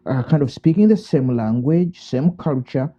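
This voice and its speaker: A man from Uganda, a theologian and priest giving thoughtful interview answers, pausing and restarting and asking himself rhetorical questions. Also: medium pitch, expressive, mellow. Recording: muffled lo-fi call audio.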